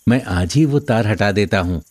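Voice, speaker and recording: A man playing a greedy, envious village neighbour in an animated moral story, with an eager, pushy manner. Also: lowish voice, moderate pace.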